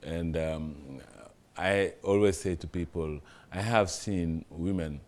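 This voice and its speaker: A middle-aged man with a Senegalese accent, a guest in an interview, answering in a relaxed, hesitant way with many fillers and small stories. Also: sits low, takes it slowly. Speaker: interview guest, TV general manager.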